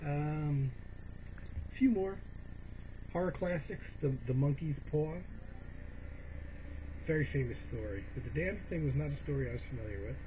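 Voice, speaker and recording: A man's voice: a little high, normal speed, long pauses, warm tone. A man giving a casual, rambling show-and-tell as a vlogger, hesitant and often correcting himself as he meanders along. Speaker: vlogger showing a collection. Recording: dull lo-fi home recording, clear background noise.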